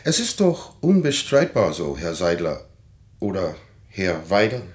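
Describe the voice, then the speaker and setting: A man's voice: sits low, very animated, measured pace. An actor playing a suspicious male official who questions a refugee in an insistent, probing way in a radio drama. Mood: interrogating. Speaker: immigration official.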